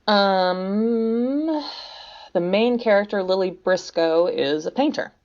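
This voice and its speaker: A woman playing a dry, level-headed academic who teases in a deadpan way, in an audio drama. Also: medium pitch, takes it slowly, dull audio.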